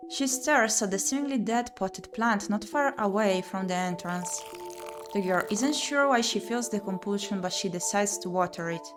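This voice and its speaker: A woman doing let's-play commentary, reading game narration aloud and muttering and exclaiming to herself in an expressive way. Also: mid-range voice, moderate pace. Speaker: streamer playing and reading a visual novel. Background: music.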